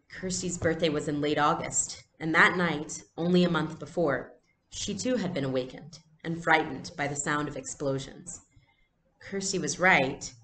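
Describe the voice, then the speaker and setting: A woman's voice: low voice, fairly bright tone. A woman reading a storybook aloud expressively, like a teacher, in an indoor room. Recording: echoey room, faint background noise.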